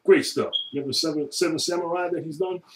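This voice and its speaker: A middle-aged man recording a chatty, joking audition, laughing often and now and then dropping into a booming announcer voice. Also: fairly even intonation, fast talker, far from the mic. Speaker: voice-over audition. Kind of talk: self-made audition video.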